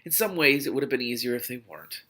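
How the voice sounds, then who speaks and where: A man's voice: medium pitch, lively intonation, fast talker, bright, forceful tone, pitched above the performer's own voice. A man voicing a shaken, weary young woman in a storybook reading, hesitant, subdued and placating. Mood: weary, regretful.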